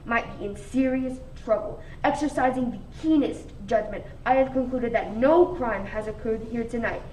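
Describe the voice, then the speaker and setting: Actress as self-important, shady female detective, radio comedy: high-pitched, declamatory, roomy off-mic sound with faint noise. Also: darkish tone, close to an everyday voice. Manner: pompous, declamatory. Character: self-important, crooked female police inspector. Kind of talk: comic radio mystery play acting. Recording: echoey room, distant mic, faint noise.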